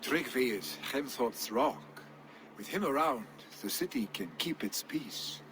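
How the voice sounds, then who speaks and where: A proud grieving son. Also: male voice, mid-range voice, expressive, unhurried, vocal fry, noisy background.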